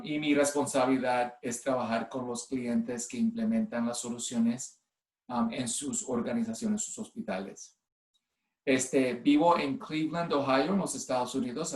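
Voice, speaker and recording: Man, mixed Puerto Rican Spanish accent, fifties, halting delivery, home video-call talk, roomy sound. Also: medium pitch, darkish tone. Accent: mixed Spanish with a Puerto Rican base. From United States. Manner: halting, with many restarts and stray English words. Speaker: nurse and clinical informatics specialist. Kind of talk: online conference presentation. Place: room at home.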